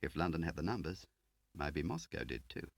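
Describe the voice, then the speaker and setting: A man's voice: rumbling low, big pitch swings, normal speed, creaky, no room sound, heavily acted. A man voicing a cocky, brash field agent on the run, talking in slang, in a spy audiobook. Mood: glib.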